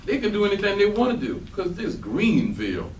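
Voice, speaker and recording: Echoey, animated, blunt man acted on stage. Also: high-pitched, a little fast, full character voice. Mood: frank. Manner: animated, bantering, profane. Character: blunt, teasing, coarse-talking working man, loyal drinking buddy. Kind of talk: stage readers theatre acting. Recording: echoey room, distant mic, faint noise.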